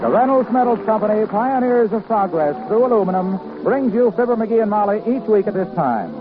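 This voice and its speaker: A man's voice: high-pitched, expressive, fairly quick, over music. A man announcing for a 1950s radio sponsor in a polished, salesmanlike delivery. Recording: muffled 1950s radio broadcast.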